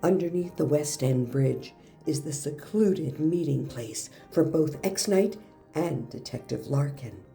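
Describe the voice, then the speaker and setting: A woman's voice: deep voice, expressive, unhurried, creaky, warm tone, soft background music, exaggerated voice. A woman reading scripted narration for an audio drama.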